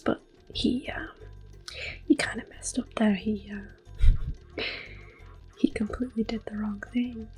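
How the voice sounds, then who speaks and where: A woman talks through a video game in a slow, soft ASMR whisper. Her pitch stays in a middle range, and her delivery is unhurried and gentle. Faint game music plays underneath. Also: low-quality recording. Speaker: ASMR gaming host. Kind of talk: soft-spoken ASMR gameplay commentary.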